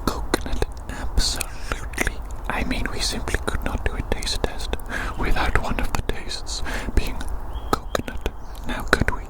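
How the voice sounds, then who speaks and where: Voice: a little slow, hardly any pauses. Playful whispered ASMR roleplayer. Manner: playful, reassuring, repeats phrases. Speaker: ASMR roleplayer playing an examiner. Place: outdoors in a forest.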